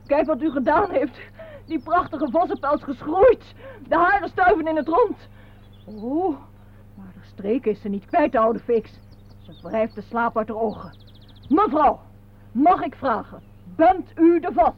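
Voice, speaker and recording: Muffled old radio play: high-voiced chatterbox receptionist, excitable, fussy and teasing. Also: female voice. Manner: exclamatory, teasing, theatrical. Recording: dull, noisy old radio recording.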